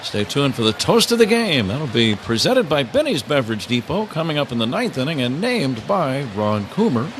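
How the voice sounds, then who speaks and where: Animated male baseball announcer over ballpark noise. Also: mid-range voice, normal speed, hardly any pauses. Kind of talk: live radio sports commentary with casual banter. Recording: clear ballpark noise behind. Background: outdoor stadium ambience.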